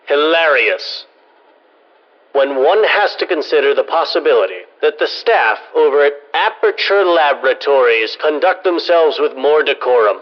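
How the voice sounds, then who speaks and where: A man's voice: a little high, expressive, normal speed. An actor playing a fussy, irritable male research scientist dictating a formal, pompous, sardonic audio log for a game through a radio-like filter. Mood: disdainful, sardonic. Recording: dull lo-fi audio log recording.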